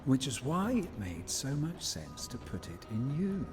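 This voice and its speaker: An actor playing a shrewd male schemer in a video game cutscene, talking in a measured, wry, insinuating way. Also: mid-range voice, sing-song, over music. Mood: calm, calculating. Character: smooth, shrewd male schemer offering bounty deals.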